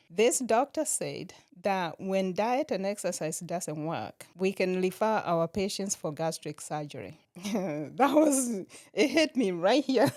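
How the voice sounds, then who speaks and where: A middle-aged woman from Kenya, a nurse practitioner, talking as a podcast guest in a relaxed way and laughing often. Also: mid-range voice, no echo, studio quality. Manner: laughs often while telling stories. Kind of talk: relaxed interview conversation.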